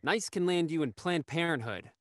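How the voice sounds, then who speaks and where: A man's voice: on the high side, lively intonation, normal speed, a bit bright, no echo, clean studio sound. A young man playing a cocky, hot-headed young actor in an audio drama. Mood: confrontational. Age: young adult.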